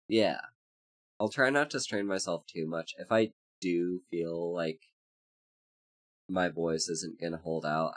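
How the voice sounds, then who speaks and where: Low-pitched streamer, slow delivery, congested, hoarse, rambling casual chat. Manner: rambling, with many fillers and restarts; greets chat members by name. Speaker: VTuber streamer.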